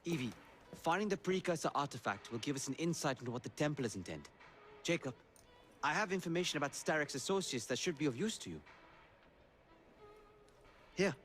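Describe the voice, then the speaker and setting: An earnest assassin informant. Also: male voice, fairly high pitch, very animated, a bit bright. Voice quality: measured, briefing tone.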